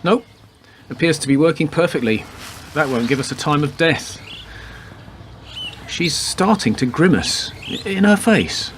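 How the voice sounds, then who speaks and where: A man playing a laconic, deadpan police detective with a macabre wit in a radio play. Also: on the high side, unhurried, long pauses, slight background noise. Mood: wry, matter-of-fact. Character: wisecracking male police detective with macabre humour. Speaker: actor in a community radio play. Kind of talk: radio drama dialogue.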